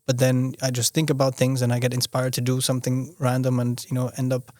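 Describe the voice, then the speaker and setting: A man's voice: medium pitch, completely flat delivery, brisk, darkish tone, dry recording, clean studio sound. A young man from Austria, a podcast guest talking casually, often restarting his sentences and leaning on "you know". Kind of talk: casual conversational interview.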